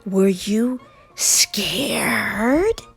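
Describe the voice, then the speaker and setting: A woman voicing a hungry, menacing yet fond male monster in a children's storybook, in a breathy, whispery growl with a teasing, deliberate delivery. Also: medium pitch, expressive, faint music behind, full character voice. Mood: ominous, teasing.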